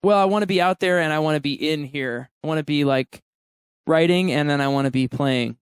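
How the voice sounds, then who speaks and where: Male musician guest, 30s, candid and laughing, smooth clear tone. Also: on the high side, fairly quick, no echo, studio quality. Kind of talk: casual interview chat.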